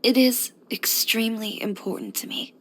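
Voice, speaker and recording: A woman's voice: on the high side, expressive, moderate pace, fairly bright tone. A young woman voicing a confident, blunt fashion designer and boutique owner in a scripted video game, with a poised, direct delivery. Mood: focused, earnest. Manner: self-assured, direct, poised with dry sighs.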